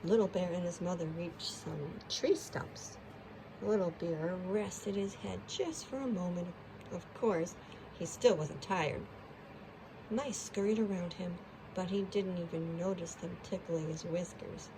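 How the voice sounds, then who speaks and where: A woman's voice: lowish voice, a little slow, noisy background. A woman storyteller narrating a children's storybook expressively.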